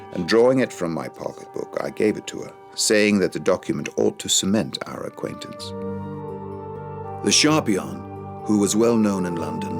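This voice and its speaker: An ageing, worldly seducer in a period drama, looking back with rue. The voice is low and creaky, every phrase measured and literary, with music clearly playing behind it. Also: male voice. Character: ageing Casanova recounting his love affairs, worldly and rueful. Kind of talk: dramatised memoir narration.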